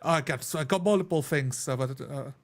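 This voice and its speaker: A man in a casual discussion as a game player, talking haltingly as he thinks aloud. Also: on the high side, a crowd in the background. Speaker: tabletop role-playing player.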